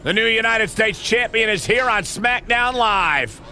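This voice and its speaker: An actor playing an excited TV wrestling commentator in a video game, delivering sports commentary. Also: male voice, high-pitched, a little slow, background noise. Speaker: wrestling announcer.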